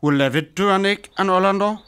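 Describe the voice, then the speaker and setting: A troubled, humane traveller played by a man speaks with a North Frisian accent in a radio play. His voice sits a little high for a man as he questions his companion. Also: a little fast, a bit bright, clear, resonant tone. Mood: dismayed.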